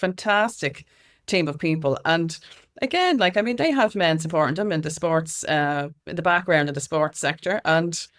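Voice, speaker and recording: A woman's voice: sits low, lively intonation, moderate pace. A woman with an Irish accent giving earnest, outspoken answers in a conversational interview. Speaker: interview guest, activist and music consultant.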